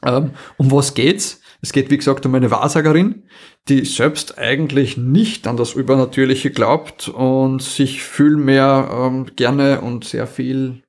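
A man with an Austrian accent and a mid-pitched voice talks as a podcast co-host. His reviewing is casual and colloquial. He sounds amused and repeats words to press a point. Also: steady tone, unhurried. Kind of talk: casual chat, audio drama review.

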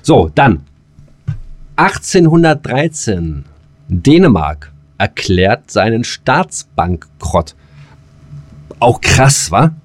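A man's voice: medium pitch, big pitch swings, no echo. A man co-hosting a casual podcast, speaking colloquially and often correcting himself as he goes. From northern Germany. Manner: halting, self-correcting, colloquial. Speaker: podcast co-host. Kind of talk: casual unscripted podcast banter.

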